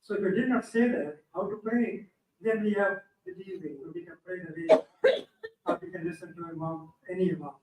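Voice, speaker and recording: A man's voice: sits high, expressive, normal speed, darkish tone. A man in the audience, whose first language is Pashto, pressing the speaker with insistent, challenging questions. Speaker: audience member. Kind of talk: question from the floor. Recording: roomy, distant from mic.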